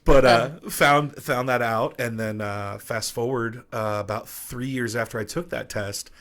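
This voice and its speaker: A man's voice: medium pitch, measured pace. A man from Long Island, New York, a DJ guest telling personal stories in a casual interview and acting out the lines of people in them. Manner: animated storyteller who acts out quoted dialogue. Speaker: DJ and podcast guest.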